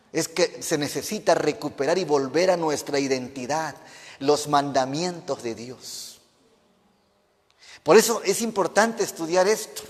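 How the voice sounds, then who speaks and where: A man from Mexico, a pastor preaching a fervent sermon and putting questions to the congregation. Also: fairly high pitch. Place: church. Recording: reverberant church hall.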